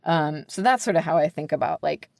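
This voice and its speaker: American woman, lowish voice, close dry sound. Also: expressive, brisk, creaky, darkish tone, no echo. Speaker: book editor, podcast guest. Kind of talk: conversational podcast interview.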